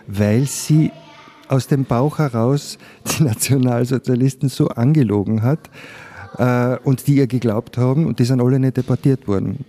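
Very dark-voiced older Austrian man. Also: mid-range voice. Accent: Austrian German (Carinthian). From Carinthia, Austria. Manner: candid, reflective, emphatic. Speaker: interviewee, physician. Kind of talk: personal testimony in an interview.

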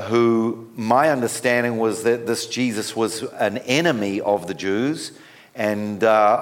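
A man in his fifties with a New Zealand accent, giving a sermon as a guest speaker and often stopping on fillers and restarts. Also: fairly low pitch. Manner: halting, with many fillers and restarts.

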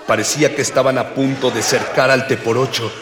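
An actor playing a storyteller who narrates an adventure in formal, ornate literary style for a radio drama. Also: male voice, mid-range voice, fairly even intonation, a little fast, lightly acted. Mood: narrating. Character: male storyteller recounting an adventure in ornate language. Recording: echoey, reverberant, crowd noise behind.

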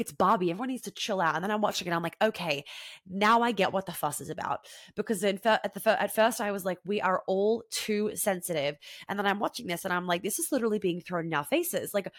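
A young woman hosting her own podcast in casual solo chat, blunt and animated, swearing freely and sounding congested from a head cold. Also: on the low side, dry recording, studio quality. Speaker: podcast host.

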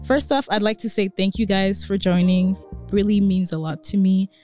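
A woman's voice: mid-range voice, steady tone, moderate pace, dark tone, faint music behind. A young woman in her twenties hosting a casual podcast, talking in an earnest, reflective way with many fillers. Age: 20s. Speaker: podcast host.

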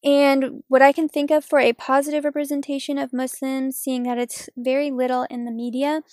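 A woman in her twenties reading a question aloud and answering it for a podcast, measured. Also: high voice, flat delivery, a little mellow, no room sound, clean studio sound. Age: 20s. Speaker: podcast guest.

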